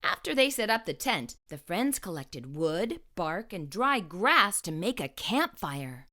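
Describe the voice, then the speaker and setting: A woman reading a children's picture book aloud as an expressive narrator. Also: fairly low pitch, a little slow. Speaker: children's story reader.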